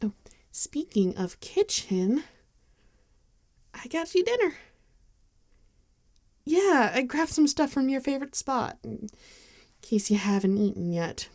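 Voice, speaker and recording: A woman acts out a gentle vampire friend in an ASMR roleplay. Her voice is mellow with a creaky edge, and she talks slowly and hesitantly, caring and apologetic. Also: high voice, very animated, dry recording, studio quality, exaggerated voice. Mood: caring, reassuring. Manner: hesitant, gentle, halting with soft sighs. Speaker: ASMR voice actor. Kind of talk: ASMR roleplay acting, intimate and conversational.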